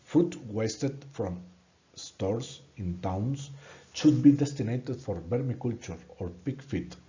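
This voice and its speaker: A man from Colombia with a Colombian Spanish accent, a native Spanish speaker giving an earnest, halting presentation in English and repeating words when stuck. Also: on the low side, expressive, dark tone, lo-fi sound. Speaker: student presenting a course project. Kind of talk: prepared presentation narration.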